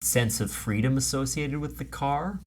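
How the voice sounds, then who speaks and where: A man's voice: medium pitch, normal speed, crowd noise. A man hosting a comedy podcast, riffing casually in improvised banter. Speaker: podcast host.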